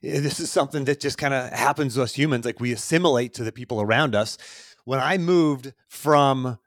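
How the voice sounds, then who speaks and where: A man's voice: medium pitch, fairly quick, no room sound, clean studio sound. A man in his forties, a podcast host giving an earnest motivational talk straight to the listener. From Pittsburgh, USA. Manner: earnest, coaching, addresses listener directly. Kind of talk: motivational monologue.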